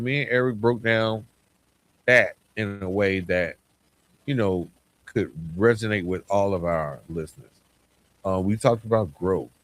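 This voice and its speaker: A man speaking informally and earnestly as a podcast host, reflecting solo in a conversational way. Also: medium pitch.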